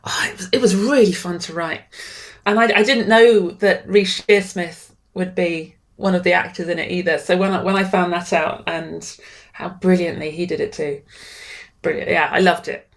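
Excitable lower-voiced woman writer. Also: normal speed. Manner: warm, excitable, laughs a lot, sometimes repeats words. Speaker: writer guest. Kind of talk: casual interview conversation.

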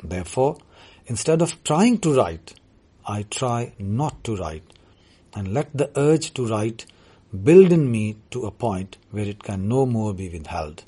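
A man whose first language is Urdu, talking about his writing as a poet in a measured, deliberate way. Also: on the low side, sing-song, dark tone, breathy edge. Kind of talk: reflective talk on his writing.

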